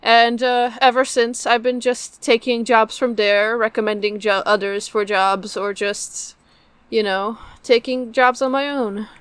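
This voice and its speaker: A woman's voice: on the high side, level tone, unhurried, smooth, dry recording. A young adult woman, a native Polish speaker, answering interview questions casually as a guest. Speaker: guest, game translator and developer.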